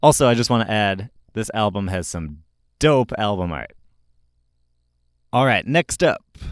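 A man's voice: on the low side, expressive, measured pace, no room sound, clean studio sound. A man hosting a music review show, talking casually and enthusiastically about albums in an informal, opinionated way. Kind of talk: casual album recommendation talk.

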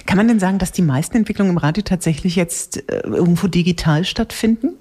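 A woman radio host chatting casually on live radio, telling things in an animated way. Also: fairly low pitch, fast talker, slightly rough.

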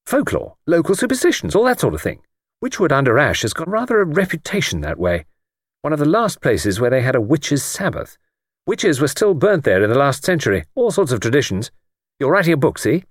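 A man voicing a brisk, confident, chummy male friend in audiobook dialogue, breezy, slangy and emphatic. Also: fairly high pitch, sing-song, dry recording, clean studio sound, voice pushed up. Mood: brisk, persuasive.

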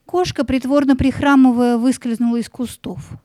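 A woman narrating a children's story in an expressive, suspenseful, dramatic scripted style. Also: high voice, moderate pace, no echo.

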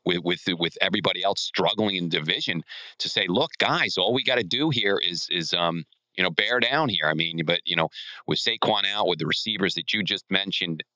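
A man hosting a casual sports discussion, halting and repeating himself as he prods with questions. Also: low voice, sharp tone, no echo, poor audio. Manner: halting, repetitive, prodding questions. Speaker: sports podcast host.

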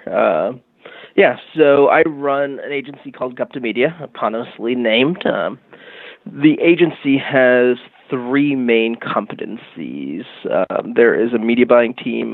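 A man guesting on a podcast, explaining his agency's tools in a measured way with frequent fillers, over a remote line. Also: medium pitch, vocal fry, dry recording. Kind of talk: casual interview talk. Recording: tinny phone-like line.

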